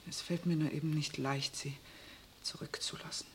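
A woman's voice: low voice, steady tone, normal speed, in a whisper, close to an everyday voice. An actress playing a hopeful but anxious young wife and mother in a 1960s radio drama. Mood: hushed, sad.

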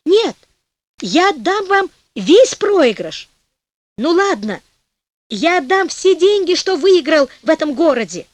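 A woman's voice: very high-pitched, big pitch swings, slow, dry recording, pitched above the performer's own voice, exaggerated voice. Sly male devil voiced by a woman, wheedling, fairy-tale audiobook, dry studio sound. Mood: wailing. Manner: insinuating, turning to loud pleading.

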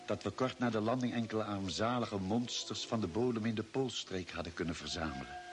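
An actor playing a grave expedition member, narrating a space voyage in a radio play with measured, solemn storytelling. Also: male voice, on the low side, noisy background. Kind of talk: scripted dramatic narration.

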